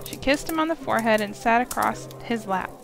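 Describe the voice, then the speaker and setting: Casting: female narrator for scripted fantasy, fairly high voice over clearly audible music. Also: a little fast. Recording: voice under prominent music.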